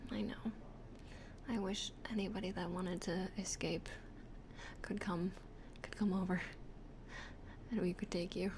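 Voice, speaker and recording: A young Canadian woman from the West Coast with an accent close to Californian, whispering softly and chatting as an ASMR streamer on a close microphone. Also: fairly low pitch, steady tone, unhurried, pauses often, background noise. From western Canada. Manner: soft whispering, chatty, laughs often.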